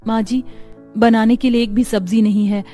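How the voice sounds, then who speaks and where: A woman's voice: fairly high pitch, steady tone, normal speed, warm tone, soft background music, natural voice. Poor, resolute young wife played by a woman. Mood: worried, gentle. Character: poor, resolute, dutiful young daughter-in-law and mother.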